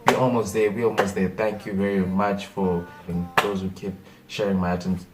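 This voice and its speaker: A young Zimbabwean man with a Zimbabwean accent, a native Shona speaker, talking in a casual comedic vlog rant, animated and exasperated, repeating his points. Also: lowish voice, normal speed, distant mic, very noisy. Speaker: vlogger.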